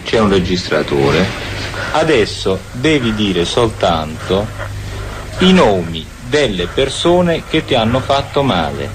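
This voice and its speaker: Male prosecutor on noisy archival tape, slow, deliberate questioning with animated intonation. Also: lowish voice.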